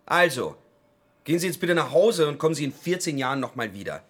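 A notably high-voiced man in a radio play. He is impatient and dismissive, scolding and complaining as if nobody else mattered. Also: sing-song, a little fast, fairly bright tone, slightly rough, exaggerated voice. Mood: scornful. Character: impatient, dismissive man in an emergency waiting room. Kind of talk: German radio play acting.

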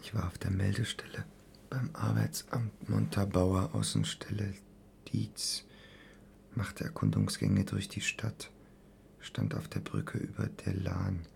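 An actor playing a brooding young man who murmurs over his mother's letters in halting, half-whispered fragments in a German radio play. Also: low voice, expressive, vocal fry, darkish tone, full character voice. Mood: muted, wry.

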